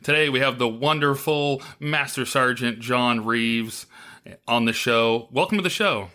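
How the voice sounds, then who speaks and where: A man's voice: mid-range voice, unhurried, bright, forceful tone. A man hosting an interview podcast in a casual, upbeat way, with plenty of filler words. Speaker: podcast host.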